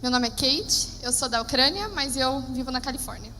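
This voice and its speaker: A woman interpreting live on stage, lively and quick. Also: high-pitched, slight background noise. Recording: reverberant hall, faint ambience.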